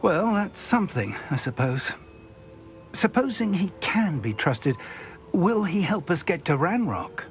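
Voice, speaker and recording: An actor playing a brisk, guarded male wizard mentor in a video game, with a dry, questioning manner. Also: high voice, sing-song. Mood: wry, probing. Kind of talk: video game cutscene acting. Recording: music under the voice. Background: music.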